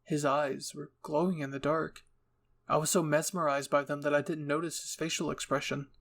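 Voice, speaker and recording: A man's voice: fairly high pitch, level tone, moderate pace, fairly bright tone. A man reading scripted fan-fiction narration as a storyteller and channel host.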